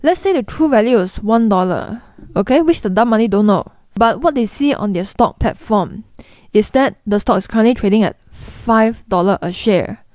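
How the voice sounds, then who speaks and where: A woman's voice: medium pitch, animated, moderate pace, darkish tone, no echo, clean studio sound. A young woman with a Singaporean accent, explaining investing mistakes to camera in a blunt, chatty way. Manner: blunt, chatty, mimics people in quotes. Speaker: investing vlogger.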